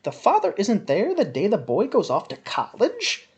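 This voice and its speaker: A woman's voice: medium pitch, huge pitch swings, normal speed, darkish tone, muffled recording. A woman giving a melodramatic, emphatic dramatic reading of a written review, stretching out words for effect. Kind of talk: theatrical dramatic reading of a monologue.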